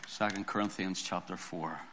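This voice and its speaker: Man, pastor, hoarse, sermon, deliberate scripture reading, emphatic preaching. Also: sits low, fairly even intonation, fairly bright tone, a little hiss or hum.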